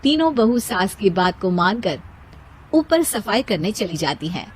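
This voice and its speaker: A woman's voice: medium pitch, very animated, measured pace, a little hiss or hum, low-quality recording. A woman narrating a scripted moral story for children as the storyteller.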